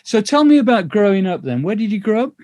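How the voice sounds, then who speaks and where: A man's voice: sits high, very animated, darkish tone, no room sound. Older man, Northern English accent, chatty interviewer, dry close sound, video call. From England. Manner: chatty, rambling, quick to laugh.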